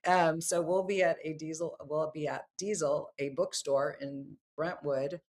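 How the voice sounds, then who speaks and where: Woman podcast host, gravelly fry in the voice, gushes and reacts fast. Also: low-pitched, fairly even intonation, a little mellow. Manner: effusive, quick reactions. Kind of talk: casual interview talk.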